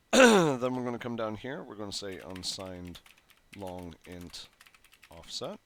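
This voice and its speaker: Phlegmy man slowly talking through code. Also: on the low side, fairly bright tone. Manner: thinks aloud while typing, frequent fillers and self-corrections. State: phlegmy, clearing his throat. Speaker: programming tutorial host. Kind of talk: casual coding walkthrough. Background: keyboard typing and clicks.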